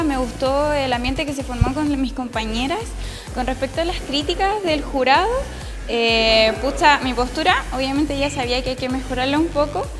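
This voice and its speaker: A young Chilean woman talking in a chatty, colloquial way into a handheld mic in an interview. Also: high-pitched. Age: young adult. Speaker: pageant contestant. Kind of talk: casual interview. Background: music.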